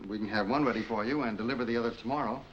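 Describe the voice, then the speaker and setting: An actor playing a polite, formal clothing shop clerk in a 1940s crime film. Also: male voice, mid-range voice, racing, a bit bright, lightly acted. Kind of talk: 1940s film noir acting. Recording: muffled, noisy old film soundtrack.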